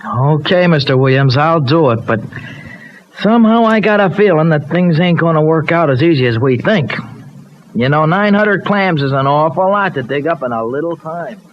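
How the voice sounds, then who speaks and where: A man's voice: on the high side, animated, moderate pace, natural voice. An actor playing an eager, streetwise but well-meaning young dairyman, with loose colloquial American speech in an old radio soap. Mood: doubtful. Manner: colloquial, folksy slang with loose grammar. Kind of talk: old-time radio soap opera acting. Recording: dull, noisy old radio recording.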